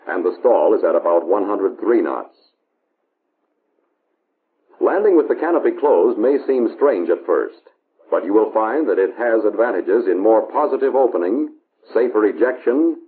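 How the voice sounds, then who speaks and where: An American man narrating a 1950s instructional film in a measured, authoritative, instructional manner, on an old film soundtrack. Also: on the low side. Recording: tinny band-limited 1950s film soundtrack, hiss.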